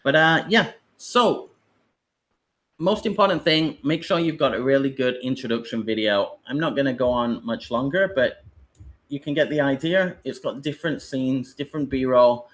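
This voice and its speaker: A British man talks his way through a live webinar for new online teachers, drifting and rambling with plenty of fillers. His voice sits at an ordinary middle pitch for a man, neither deep nor high. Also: moderate pace, fairly bright tone. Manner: loose, rambling explanation with fillers.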